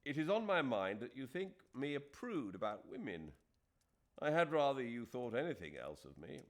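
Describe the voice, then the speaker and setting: A man voicing an earnest, self-deprecating middle-aged Englishman, speaking a letter aloud in an emphatic, measured way. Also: mid-range voice, sing-song, pitched above the performer's own voice. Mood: earnest, wry.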